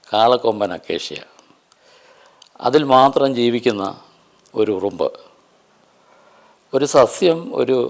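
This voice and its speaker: A man presents an unscripted nature explanation in deliberate, halting phrases with emphatic stresses. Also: mid-range voice, gravelly.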